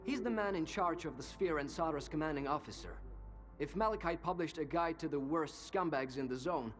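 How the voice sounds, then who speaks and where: Sly informant, animated higher voice. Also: male voice. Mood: scornful. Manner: sardonic, insinuating, conversational. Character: cynical, worldly male stalker informant, sly and talkative. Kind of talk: video game dialogue acting.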